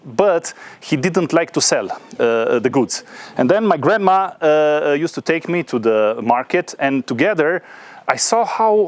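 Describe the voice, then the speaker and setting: A man in his thirties speaks with a Romanian accent in a medium-pitched voice. He gives an informal talk, often pausing and filling gaps as he searches for the next phrase. The sound is dry, with very little room in it. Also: no room sound. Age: 30s. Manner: hesitant, filler-heavy delivery with frequent pauses. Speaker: guest speaker, entrepreneur.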